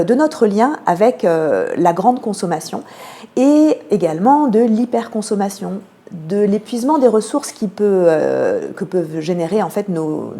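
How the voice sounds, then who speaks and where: A woman with a French accent from France giving measured, explanatory answers as a radio interview guest, pausing often to find her words. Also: mid-range voice, darkish tone. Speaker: theatre programme manager, interview guest.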